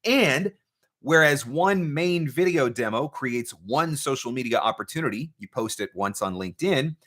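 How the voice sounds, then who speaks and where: Bright, forceful man hosting live advice. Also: mid-range voice, animated, moderate pace. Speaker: host, voice-over marketing coach. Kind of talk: live Q&A broadcast, conversational advice to camera.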